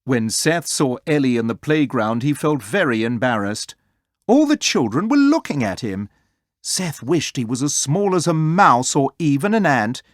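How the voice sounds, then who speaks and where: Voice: medium pitch, big pitch swings, normal speed, dry recording, studio quality. A storyteller reading a children's story aloud as scripted narration.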